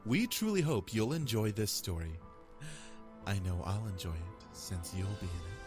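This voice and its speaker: An actor playing a polite, charming announcer in a visual novel game, speaking formally and courteously to the player. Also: male voice, fairly low pitch, expressive, moderate pace, close to an everyday voice. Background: faint game music.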